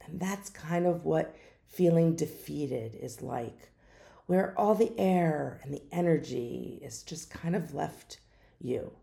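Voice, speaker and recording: A middle-aged woman hosting her own podcast, speaking in an unhurried, musing way with some hesitations. She has a naturally deep voice that is hoarse from a lingering cough. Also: dark tone. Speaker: podcast host. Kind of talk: reflective solo podcast talk.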